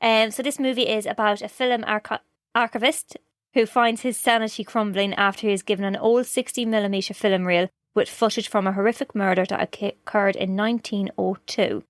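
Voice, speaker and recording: A woman's voice: mid-range voice, fairly even intonation, brisk, no room sound, studio quality. An Irish woman co-hosting a podcast in casual film chat, teasing and matter-of-fact. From Ireland. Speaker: podcast co-host.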